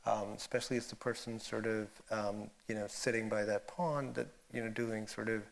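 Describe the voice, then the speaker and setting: A man's voice: on the low side, steady tone, normal speed, gravelly. A man moderating a panel, asking reflective questions hesitantly as he searches for words. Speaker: discussion moderator.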